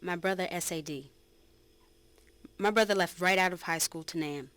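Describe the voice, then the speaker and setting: A woman's voice: on the low side, moderate pace, a bit bright, clear, resonant tone, dry recording, lightly acted. A woman giving a dramatic reading as a soldier's grieving younger sister. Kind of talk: dramatic reading of a written commentary.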